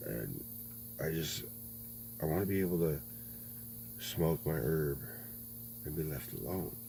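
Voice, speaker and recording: A man in his thirties in a rambling political monologue, halting, full of fillers and frequent swearing. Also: extremely low pitch, lively intonation, creaky, dark tone. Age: 30s. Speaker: video blogger. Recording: faint mains hum.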